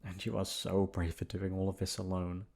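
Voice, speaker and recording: A man voicing a gentle, earnest, timid teenage prince in a bedtime story, speaking softly and hesitantly. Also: low-pitched, completely flat delivery, moderate pace, creaky, slightly rough, exaggerated voice. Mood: earnest, tender.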